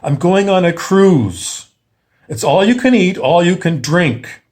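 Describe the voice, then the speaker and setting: A man's voice: sits high, lively intonation, slow, a little mellow. A man acting out a defiant sixty-five-year-old retiree who is insistent and dismissive, in an informal monologue.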